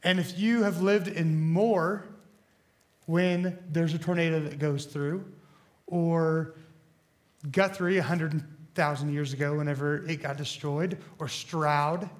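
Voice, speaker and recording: A young man preaches in a hoarse, scratchy voice, high-pitched for a man. He rambles and jokes at his own expense, stumbling through fillers and restarts as he talks to a church crowd. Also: fairly even intonation, slow. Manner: rambling, self-deprecating, many fillers and restarts. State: hoarse from bronchitis. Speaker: student pastor. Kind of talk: casual joking sermon. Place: church hall.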